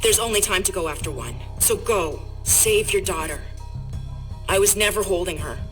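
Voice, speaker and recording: A woman's voice: mid-range voice, lively intonation, normal speed, close to an everyday voice. Urgent no-nonsense spy agency lady played by a woman, direct, game cutscene w music under. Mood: resolute, grave. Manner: insistent, direct. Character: urgent, steely female intelligence officer. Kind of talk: video game cutscene acting.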